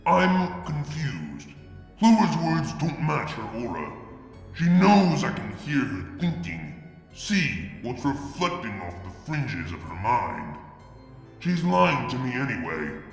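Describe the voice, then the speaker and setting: A man reading a fan fiction story aloud, in a measured, expressive way. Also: mid-range voice, creaky. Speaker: fanfic reader. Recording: echoey room with music. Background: music.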